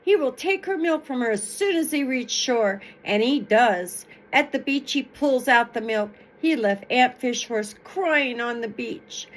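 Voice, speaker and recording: A woman reading stories aloud in an expressive, emphatic, explanatory way. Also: a little high, a little slow, few pauses. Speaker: storyteller reading folktales aloud. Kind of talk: expressive read-aloud storytelling with informal explanatory asides.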